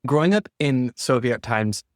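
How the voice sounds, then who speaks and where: A man hosting a podcast, reading a scripted introduction and asking plain questions in a measured way. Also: medium pitch, expressive, no echo, studio quality. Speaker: podcast host.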